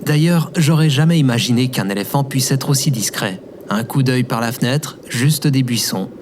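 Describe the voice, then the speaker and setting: A man's voice: medium pitch, moderate pace, background noise, close to an everyday voice. An actor, a man, playing a wry, grumbling companion in an audio drama, casual and sarcastic.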